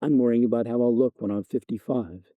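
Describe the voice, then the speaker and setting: A man's voice: mid-range voice, fairly even intonation, brisk, no room sound, narrow, tinny audio, close to an everyday voice. A man voicing a biting, exasperated fiancée in her twenties in a story reading. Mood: subdued, insecure. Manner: biting, sarcastic. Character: sarcastic, exasperated twenty-five-year-old fiancée, easily wounded. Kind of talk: storybook dialogue narration.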